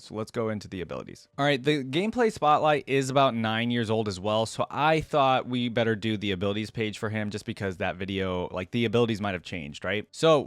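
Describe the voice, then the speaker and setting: A man's voice: medium pitch, dry recording. A man giving casual reaction commentary, informally thinking aloud as he hosts. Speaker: reaction video host.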